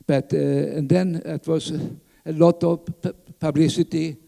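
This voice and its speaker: An older man from southern Sweden with a Swedish accent, speaking English as a fourth language, giving a halting talk at a lectern full of fillers and restarts. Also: a little high, little pitch movement, creaky, dark tone. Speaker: conference speaker. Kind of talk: reminiscing conference talk. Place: large conference hall. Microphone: lectern mic. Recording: reverberant hall.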